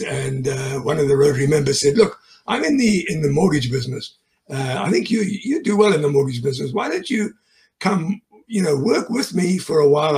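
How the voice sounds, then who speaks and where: A man's voice: on the high side, vocal fry. An older man from South Africa, a guest telling his business story in a chatty, rambling way with frequent chuckles and fillers. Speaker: guest, mortgage business owner. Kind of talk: casual interview chat.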